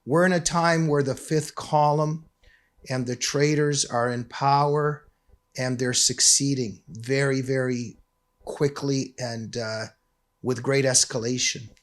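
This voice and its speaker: A man hosting a talk show, speaking emphatically in deliberate, drawn-out phrases. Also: medium pitch, steady tone. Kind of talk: scripted intro and interview talk.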